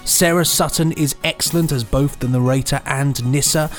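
A man's voice: medium pitch, steady tone, normal speed, soft background music. A man co-hosting a podcast, playful in chat and reading out reviews. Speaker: podcast host.

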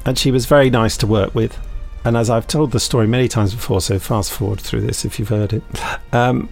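A man's voice: medium pitch, fairly even intonation, fairly quick, creaky, a little mellow, soft background music. A British man hosting a podcast, chatting casually with rambling, self-mocking, dryly jokey anecdotes. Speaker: podcast host and audio drama maker.

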